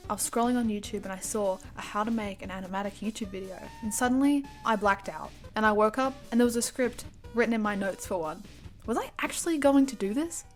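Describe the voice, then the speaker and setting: A young woman speaks in a casual commentary voice-over, chatty and self-deprecating. She keeps a middling pitch and a mock-dramatic lilt as she narrates. Faint music plays underneath. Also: fast talker. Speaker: animatic creator.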